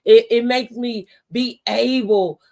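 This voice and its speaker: A woman's voice: on the high side, slow, vocal fry, a little mellow. A woman hosting a faith-based motivational conversation, testifying with rhetorical questions and repeated phrases. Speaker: talk show host and mentor.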